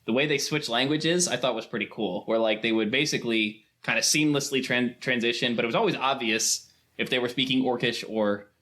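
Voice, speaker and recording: A man in a casual film discussion, explaining in a chatty, colloquial and halting way. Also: mid-range voice, pushed and bright. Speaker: podcast guest or co-host.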